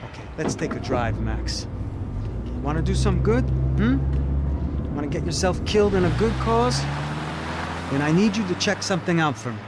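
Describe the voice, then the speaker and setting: An actor playing a shrewd, sardonic police detective in a video game, with a knowing, mocking delivery. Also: male voice, on the high side, big pitch swings, normal speed, pauses often. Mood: conspiratorial, urging. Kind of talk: video game cutscene dialogue. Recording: loud car and street noise behind.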